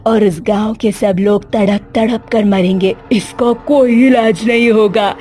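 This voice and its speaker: A woman voicing a menacing, vengeful female witch ghost in a cartoon story, with a slow, threatening, declamatory delivery. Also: a little high, fairly even intonation, mellow, soft background music, voice pushed down, lightly acted.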